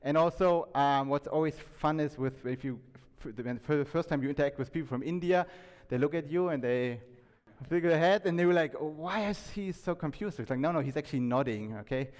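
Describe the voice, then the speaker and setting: A man giving an informal talk to an audience, conversational and halting, often restarting his sentences and checking in with questions. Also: on the high side, a little mellow. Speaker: conference speaker. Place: indoor talk venue, reverberant room. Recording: echoey hall with long reverb.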